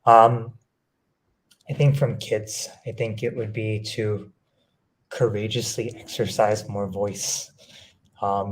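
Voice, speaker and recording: Male education panelist, lowish pitch, thoughtful, measured, pauses mid-thought. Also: poor audio. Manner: measured, reflective, pausing between phrases.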